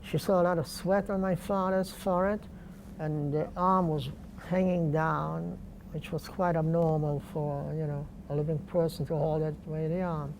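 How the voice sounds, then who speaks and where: Slow-spoken older man. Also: on the high side, little pitch movement, creaky, noisy background. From Poland. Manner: slow, deliberate, halting with pauses. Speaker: interviewee. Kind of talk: oral history interview.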